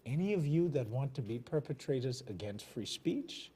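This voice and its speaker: Man, Bahamian accent, TV commentary host, defiant, emphatic, dark-toned. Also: mid-range voice, very animated, normal speed, husky. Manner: defiant, emphatic, preaching cadence. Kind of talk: fiery political commentary. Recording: television broadcast.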